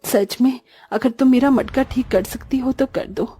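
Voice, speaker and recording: A woman's voice: high-pitched, normal speed, dark tone, breathy edge, no echo, soft background music. A woman voicing a poor, polite village auntie with a plaintive manner in a cartoon story. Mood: grateful.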